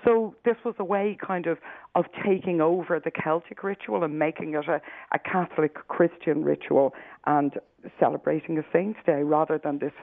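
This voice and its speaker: A woman with an Irish accent, a professor being interviewed on the radio, explaining at length in a measured way with frequent restarts. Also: low voice, fairly even intonation, gravelly, no echo. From Ireland. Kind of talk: expert explaining on a radio call-in. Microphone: telephone. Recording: tinny phone line, dry.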